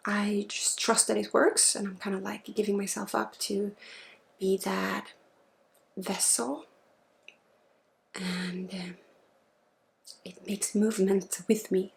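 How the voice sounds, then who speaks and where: A woman gives a spiritual ASMR healing session, speaking softly and informally with pauses and often dropping into a breathy whisper. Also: mid-range voice, flat delivery, slight background noise. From Sweden. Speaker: spiritual healer and ASMR host.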